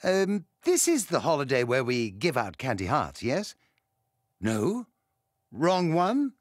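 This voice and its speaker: A man voicing a mild, confused game character in a halting, unsure way. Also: medium pitch, huge pitch swings, no room sound, clean studio sound, heavily acted. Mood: hesitant, unsure.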